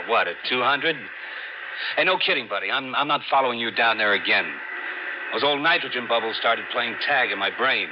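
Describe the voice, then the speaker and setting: An actor as a breezy, wisecracking diving buddy, muffled. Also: male voice, mid-range voice, lively intonation, normal speed, natural voice. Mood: wry, warning. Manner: jaunty, colloquial, wry. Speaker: supporting actor. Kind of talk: old-time radio drama acting. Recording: muffled noisy old radio recording.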